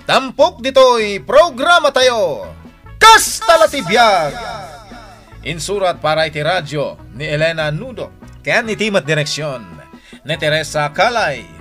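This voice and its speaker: A man with an Ilocano accent reading a slow, emphatic scripted announcement for a radio drama. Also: fairly high pitch, bright, forceful tone. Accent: Filipino, Ilocano.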